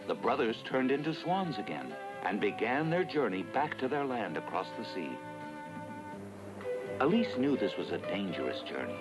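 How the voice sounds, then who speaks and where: A man narrating a cartoon fairy tale in a dramatic, expressive storytelling style over loud music. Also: fairly low pitch, rough recording.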